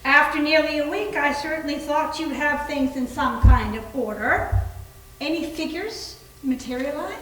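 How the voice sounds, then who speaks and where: Imperious, self-important wife played by a woman, high voice, readers theater, very echoey room. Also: fairly bright tone. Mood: imperious, critical. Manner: imperious, brisk, pompous. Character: bossy, self-important doctor's wife, impatient spiritualist. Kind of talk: readers theater stage reading. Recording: echoey room, mic at a distance.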